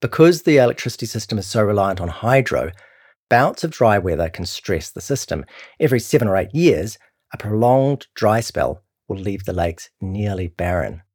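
A man's voice: fairly low pitch, very animated, moderate pace, a little mellow, slightly rough. A man with a New Zealand accent reading a scripted long-form article as a documentary narrator.

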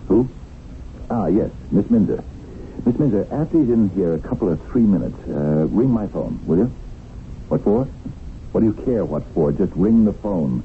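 A man's voice: deep voice, expressive, moderate pace. An actor playing a sly, stingy businessman in a 1970s radio drama, pedantic and mock-courteous. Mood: curt, impatient. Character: sly, stingy, smoothly officious swindling businessman. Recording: muffled, noisy 1970s radio broadcast.

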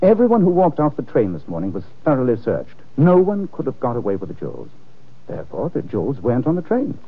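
An actor playing a suave, unflappable amateur detective in 1940s radio drama style, with a dry, ironic, nonchalant delivery and polite hesitations. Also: male voice, medium pitch, wildly swooping pitch, fast, breathy edge, exaggerated voice. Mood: amused, assured. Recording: dull, lo-fi old radio recording.

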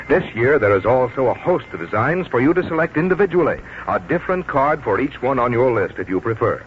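Male 1940s announcer, promotional and polished, very varied intonation, dull noisy broadcast sound. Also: medium pitch. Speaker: radio announcer. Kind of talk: 1940s scripted radio commercial narration.

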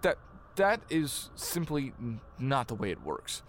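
An actor playing a flustered, indignant and pious reverend who stammers and blusters, in an audio drama. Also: male voice, medium pitch, sing-song, measured pace, slight background noise.

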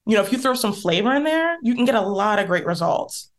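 A woman guest on an interview podcast speaks in a mid-range voice. She tells her story in a lively, emphatic way, keeping a relaxed, conversational tone. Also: medium pitch, fast talker. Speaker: podcast guest, content strategist.

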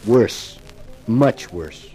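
A man's voice: mid-range voice, expressive, very slow, warm tone, faint music behind. A man playing a wisecracking, beleaguered newspaper columnist in a 1950s radio crime drama, with a sardonic manner. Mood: sardonic, weary. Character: wry, beleaguered male newspaper columnist in a mystery.

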